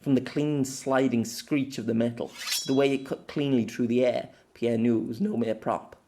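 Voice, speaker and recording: An amateur audiobook reader giving an expressive narration of a scripted story. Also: medium pitch, moderate pace, slight background noise.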